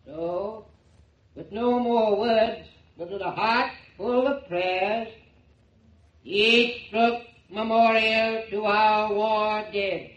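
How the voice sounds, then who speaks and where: A man's voice: high-pitched, steady tone, fairly bright tone, full character voice. An actor playing a solemn, pompous town selectman giving a memorial address in 1940s radio drama style. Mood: solemn, grand. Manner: pompous, oratorical, slow. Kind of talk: 1940s radio drama acting, public speech. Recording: dull old radio recording, echoey, distant mic.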